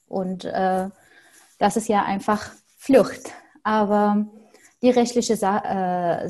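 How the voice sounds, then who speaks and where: A young woman from Syria whose first language is Arabic speaks in a soft, mellow tone. She answers slowly and thoughtfully, pausing often with fillers as she searches for the words. Her voice comes through a headset mic on a video call. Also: a little high. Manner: thoughtful, hesitant with frequent fillers. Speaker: podcast guest. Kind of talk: casual interview answers. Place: small home room with paintings on wall.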